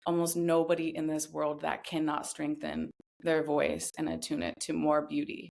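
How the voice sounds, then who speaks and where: A woman in her thirties with a distinctly low voice talks reflectively as an interview guest. She is earnest and chooses her phrases with deliberate care, letting them land in a measured way. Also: completely flat delivery, vocal fry, a little mellow. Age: 30s. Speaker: podcast guest, somatic voice guide. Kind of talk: reflective personal interview talk.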